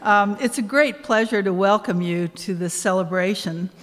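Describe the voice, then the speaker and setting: A woman of around seventy giving a measured, formal welcome speech with dry humor from a podium microphone on stage. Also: medium pitch. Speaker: event host, anniversary committee co-chair. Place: on stage in a large hall. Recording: reverberant concert hall.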